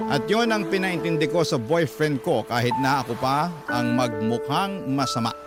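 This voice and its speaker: A man's voice: mid-range voice, lively intonation, moderate pace. A man hosting a radio show, reading a listener's letter as dramatic first-person narration. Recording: loud music under the voice. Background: prominent background music.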